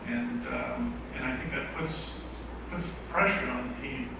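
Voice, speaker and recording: A man's voice: medium pitch, huge pitch swings, a little slow, gravelly. A man on a housing panel, agreeable and a bit hesitant as he makes his point. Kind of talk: panel discussion. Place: event hall with audience. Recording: echoey hall, distant mic, background noise.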